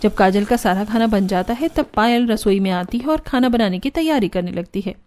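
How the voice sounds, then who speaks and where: A woman's voice: medium pitch, a little fast, darkish tone. A woman narrator reading a scripted cartoon story.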